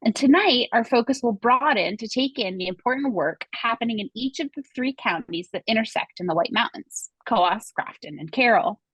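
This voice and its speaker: Husky-voiced woman moderating a panel. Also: a little high, animated, moderate pace, hardly any pauses, a bit bright, dry recording, rough recording. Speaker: moderator and museum director. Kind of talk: scripted panel introduction. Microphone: video call.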